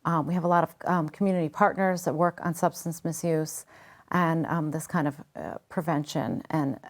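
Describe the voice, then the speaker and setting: A woman's voice: deep voice, moderate pace. A woman hosting an interview, chatting and encouraging her guest with short affirmations. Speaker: host. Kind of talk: interview chat.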